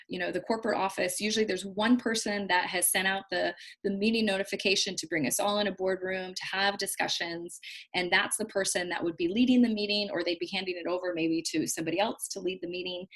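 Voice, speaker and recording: Chatty woman teaching a video-call class. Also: mid-range voice. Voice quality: loud voice. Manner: chatty, repeats herself, many fillers and restarts. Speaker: trainer hosting a video call. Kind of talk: casual instructional talk. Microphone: built-in computer mic on a video call.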